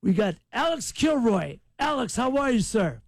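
Guy hosting a chatty interview, high lively voice, kinda creaky, halting and excitable. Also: no room sound, studio quality. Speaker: show host, interviewer.

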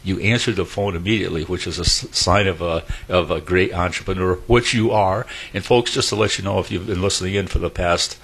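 Older man, radio host; low, husky, folksy and enthusiastic. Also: steady tone, fairly quick, hardly any pauses, a bit bright. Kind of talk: casual radio chat. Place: radio studio.

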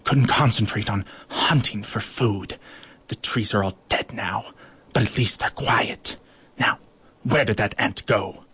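A man's voice: fairly low pitch, measured pace, fairly bright tone, husky, pitched below the performer's own voice, heavily acted. A man voicing a grumpy, impatient male tiger beetle in a storybook tale.

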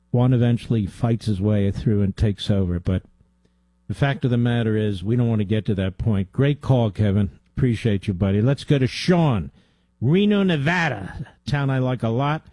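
A man's voice: medium pitch, mellow, no room sound. A man hosting a call-in radio talk show, blunt and emphatic, often cutting in on his callers.

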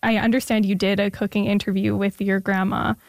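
A woman's voice: medium pitch, level tone, fairly quick, creaky, no echo, clean studio sound. A woman hosting an interview show, reading a scripted introduction and then asking friendly questions with a casual "like" here and there. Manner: friendly, uses filler "like" in questions. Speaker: radio show host.

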